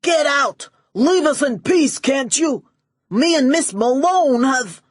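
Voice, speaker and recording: A woman's voice: sits high, animated, slow, dry recording, pitched above the performer's own voice. Female narrator as besotted male gangster, middle-aged, pushy, pleading, emphatic, audiobook character, close dry sound. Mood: impatient, commanding.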